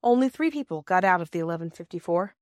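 A woman reading a scripted storybook as an audiobook narrator, in a measured, expressive storytelling manner. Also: on the low side, dry recording, clean studio sound.

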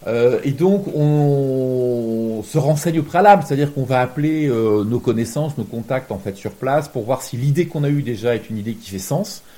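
A man with a mellow, soft-edged voice at a middling pitch. He answers as an interviewed reporter, deliberate and reflective, circling back to rephrase his points. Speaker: journalist, war reporter being interviewed.

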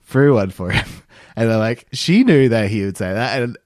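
A young man hosting a podcast, telling a story in a halting, casual way and laughing between phrases. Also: fairly low pitch, expressive, a little mellow, no echo, studio quality. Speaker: podcast host.

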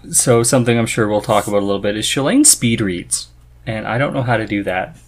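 A man's voice: fairly low pitch, expressive, fairly quick. A man hosting a podcast in a casual, chatty style. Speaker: podcast host. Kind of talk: casual chat.

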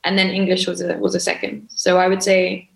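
A woman's voice: on the low side, monotone, a little fast, vocal fry. A young woman guest in a casual chat, easygoing and laughing often. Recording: roomy echo.

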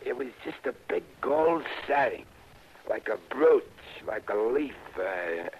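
Husky, hesitant American street informant. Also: male voice, medium pitch, big pitch swings, slow, full character voice. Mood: halting, eager. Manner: hesitant, rambling, colloquial streetwise speech. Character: halting, eager male street informant, streetwise and deferential. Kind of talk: 1960s radio drama acting. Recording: muffled old radio broadcast recording.

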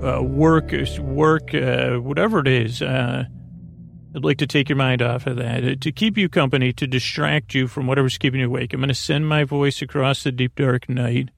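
A man hosting a sleep podcast in a creaky voice, meandering and halting through a silly improvised bedtime ramble. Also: mid-range voice, steady tone, dry recording, faint music behind.

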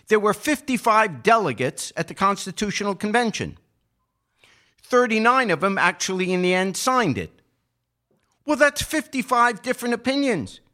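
A man giving political commentary as a podcast co-host, explaining his points in an emphatic, argumentative way with deliberate phrasing. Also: on the high side, fairly bright tone.

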